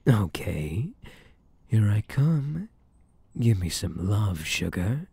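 Male mafia boss, acted by a man for ASMR roleplay: low, husky, smug and possessive, teasing with menace. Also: sing-song, slow pace, a little mellow, no room sound, heavily acted. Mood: flirtatious. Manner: teasing, drawling, menacingly sweet with pet names. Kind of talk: intimate ASMR roleplay acting.

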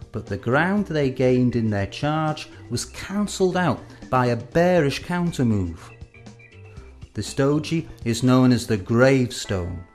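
Measured male instructional presenter over music. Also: medium pitch, a little mellow. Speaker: presenter of an educational film. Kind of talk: scripted instructional narration. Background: background music.

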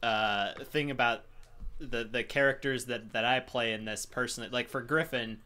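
A man of average pitch speaks with a Midwestern accent touched by a little Southern inflection. He talks casually in a group as a voice actor, haltingly, feeling around for the right words. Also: fairly even intonation, sharp tone. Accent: American Midwest with slight Southern inflection. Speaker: voice actor in a cast Q&A.

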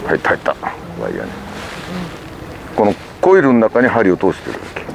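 A man's voice: lowish voice, huge pitch swings, fairly quick, vocal fry. A man giving casual fishing commentary, muttering reactions and explaining informally as host. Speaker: fishing show host and angler. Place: open boat at night, outdoors. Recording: noisy outdoor boat recording, wind. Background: boat and wind noise.

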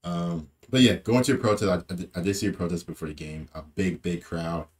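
A young man with a low, deep voice talks through a football match in a loose, rambling way. He repeats himself and drops in plenty of fillers, speaking from a small room into a desk webcam. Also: fairly even intonation, brisk. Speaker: football fan vlogger. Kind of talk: casual post-match reaction.

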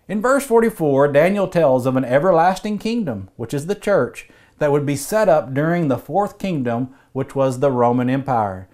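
A man in his forties preaching a scripted sermon, reading Bible verses in a measured, earnest way, recorded in an indoor study with bookshelves. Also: a little high, darkish tone.

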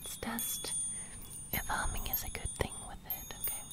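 A young woman whispering a gentle ASMR roleplay very close to the microphone, breathy, softly repeating phrases. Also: normal speed. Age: young adult. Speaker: ASMR host roleplaying a sleep fairy. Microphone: close studio microphone.

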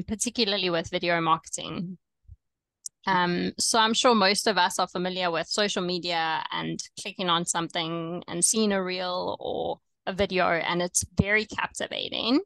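A young woman with a South African accent, coaching online teachers over a video call in a relaxed, chatty way with frequent ums. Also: medium pitch, no echo, rough recording. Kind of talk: webinar presentation.

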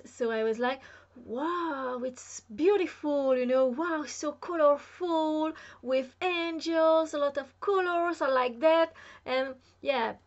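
A woman's voice: high-pitched, lo-fi sound. A young woman, French her first language, chatting casually to camera as a vlogger, filling her talk with lots of "you know"s, in a small room at home. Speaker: spiritual vlogger.

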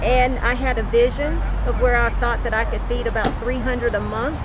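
A woman's voice: a little high, steady tone, moderate pace, heavy background noise. A woman organizing an outdoor food drive, speaking upbeat and grateful to the camera. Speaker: dentist and event organizer. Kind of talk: on-camera interview. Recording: outdoor crowd, loud music bed. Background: crowd and music.

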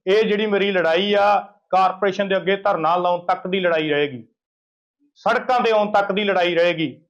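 A high-voiced man gives a political complaint to the press. He is emphatic and indignant, circling back over his points. The sound is a dull field recording. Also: moderate pace, creaky. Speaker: local opposition party leader giving a media interview.

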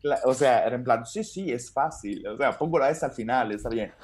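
A man's voice: medium pitch, fairly quick, a little mellow, breathy edge. A man in his thirties from Italy, speaking with an Italian accent as a guest comedian, telling his story in a rambling way with many fillers and restarts over a video call from his home. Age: 30s. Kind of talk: casual interview chat.

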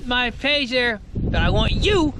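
A middle-aged man hosting a casual fishing vlog from a boat on a lake, folksy and excitable, picked up by an action camera. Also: extremely high pitch, slow. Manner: excited, folksy, exclaims at catches. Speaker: fishing video host. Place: on a bass boat on a lake outdoors. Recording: outdoor wind and boat noise.

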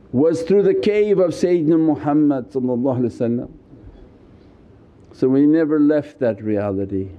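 A man's voice: fairly high pitch, phone-quality sound. An older man teaching a spiritual lecture, seated indoors and speaking into a small stand mic with emphatic gestures. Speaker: religious teacher. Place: indoors, seated on ornate couch before curtains. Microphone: small mic on stand in front.

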